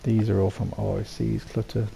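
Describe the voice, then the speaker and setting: A man with a low, gravelly voice, made croaky by a sore throat. His tone is dark and mellow, and he rambles along with ums and false starts as he walks through his build. A faint hiss sits under the voice. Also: level tone, normal speed. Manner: rambling, full of ums and restarts. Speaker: game settlement builder. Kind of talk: casual voice-over walkthrough.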